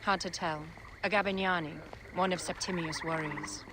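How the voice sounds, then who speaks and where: Imperious egyptian queen, composed game cutscene voice on the low side, noisy ambience behind. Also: steady tone, moderate pace, sharp tone. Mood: calculating. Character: regal, calculating young Egyptian queen, commanding. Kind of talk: video game cutscene acting.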